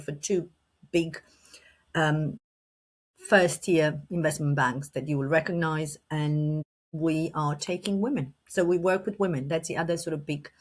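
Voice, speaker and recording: A middle-aged woman from Romania, a leadership coach answering podcast questions fluently, with restarts and a slight cough. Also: lowish voice. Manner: fluent with frequent restarts and fillers.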